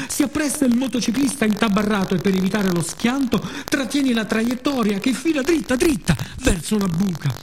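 A man performing an expressive, playful theatrical monologue for radio theatre. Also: high voice, slight background noise. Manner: expressive, with playful spoken sound effects.

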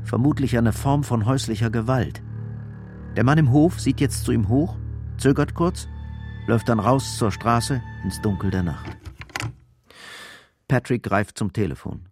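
A man's voice: mid-range voice, a little slow, pauses often, darkish tone. A man narrating a radio drama soberly, restrained and matter-of-fact. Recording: roomless dry studio, faint music behind. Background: faint music.

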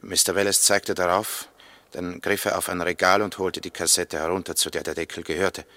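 Ordinary witness giving testimony, 1960s radio drama: lowish, forceful, factual, sober. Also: male voice, little pitch movement, brisk, dry recording. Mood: sober recounting. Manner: factual, step-by-step. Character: male witness, plain neighbor soberly recounting a grim discovery. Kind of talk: 1960s German radio play acting.

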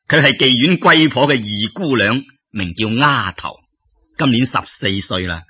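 A man's voice: mid-range voice, expressive, unhurried, slightly rough, lo-fi sound. A man voicing a genial, worldly travelling merchant in an audio story, coaxing and drawing out his phrases. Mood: confiding.

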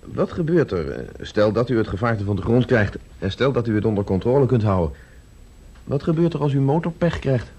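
Sceptical newsman, husky and animated, hesitant but probing, seventies radio drama. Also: mid-range voice, a little fast. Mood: probing, doubtful. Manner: probing, doubtful, hesitant questioning. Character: sceptical male journalist questioning inventors. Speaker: actor in a radio play. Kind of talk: 1970s Dutch radio drama acting.

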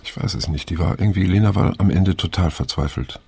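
An actor playing a weary, reflective middle-aged man in naturalistic radio drama, speaking haltingly and pensively with a gravelly, husky voice. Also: deep voice, dark tone, no echo, exaggerated voice. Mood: resigned, somber. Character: weary middle-aged male survivor of a hippie circle, reflective.

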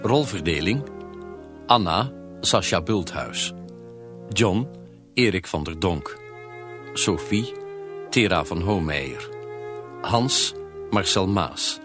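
A man reading the closing credits of a radio drama in a formal, measured way. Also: low-pitched, animated. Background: music with brass horn.

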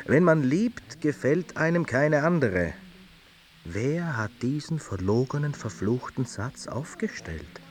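A man's voice: mid-range voice, sing-song, slow pace. A man acting as an aging, disillusioned poet, reciting literary miniatures in a wry, irritable, theatrical way. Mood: indignant, mocking. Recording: music under the voice.

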